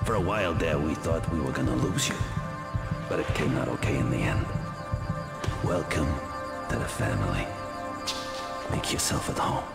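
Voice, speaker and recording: An actor playing a smug, courteous crime boss in a video game, speaking deliberately with menacing, formal politeness. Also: male voice, a bass voice, animated, creaky, full character voice. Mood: calm veiled threat. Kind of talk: video game cutscene acting. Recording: loud music under the voice.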